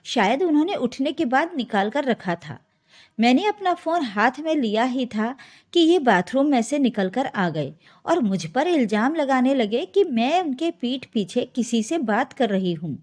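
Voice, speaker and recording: A woman's voice: high-pitched, very animated, unhurried, a little mellow, exaggerated voice. A woman voicing a distressed young married daughter pleading with her parents in a story. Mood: aggrieved pleading. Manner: aggrieved, pleading.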